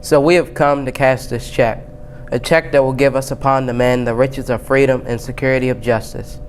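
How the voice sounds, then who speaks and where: Flat-toned male student reading a speech. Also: mid-range voice, moderate pace, slight background noise. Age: young teen, 7th grade student. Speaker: student reader. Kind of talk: reading a famous speech aloud.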